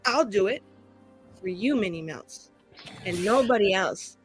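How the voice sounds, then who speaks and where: A woman's voice: mid-range voice, lively intonation, unhurried. A young woman chatting casually and jokingly in a group, with a slangy, theatrical, hyperbolic way of talking. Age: young adult. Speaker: cast member of a tabletop roleplaying show. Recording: music behind the voice. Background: music.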